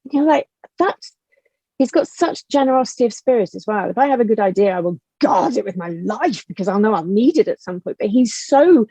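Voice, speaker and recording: A woman's voice: mid-range voice, expressive, fairly quick, dark tone, husky, dry recording. A woman guest writer talking casually and passionately, emphatic and full of exasperated rhetorical questions. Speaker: guest, audio drama writer.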